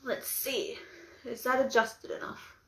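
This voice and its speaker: A woman's voice: on the high side, little pitch movement, a little slow, fairly bright tone, a little hiss or hum, rough recording. A young woman with an Australian accent, chatting casually and laughing often as she unboxes something on a phone live stream in her bedroom. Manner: chatty, giggly, rambling with frequent laughs. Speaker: vlogger. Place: small cluttered bedroom, indoors. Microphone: phone camera propped on a mug.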